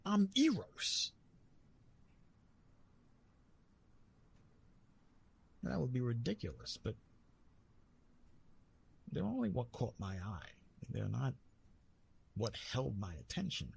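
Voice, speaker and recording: A man playing a retired god of love in an intimate ASMR roleplay, tender and teasing, speaking softly with a husky, breathy voice and halting, reassuring delivery. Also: medium pitch, very animated, slight background noise, exaggerated voice. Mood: playfully flustered. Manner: gentle, reassuring, halting with pauses and self-corrections.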